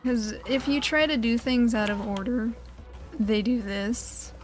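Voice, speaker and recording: A woman's voice: a little high, measured pace. A woman giving casual video game commentary, with dry, sarcastic asides. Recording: clear game music behind. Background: video game music.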